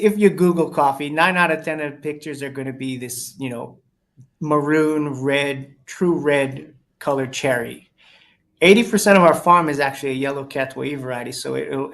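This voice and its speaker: Gravelly man explaining coffee crops. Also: mid-range voice. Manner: explanatory, lively. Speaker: coffee orchard manager guest. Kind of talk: explaining as a guest.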